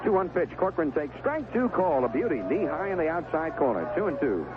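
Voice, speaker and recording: A man giving live 1970s radio play-by-play of a baseball game, describing each pitch in short, clipped phrases. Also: big pitch swings, brisk. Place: outdoor ballpark broadcast booth. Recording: noisy, tinny narrowband vintage radio broadcast.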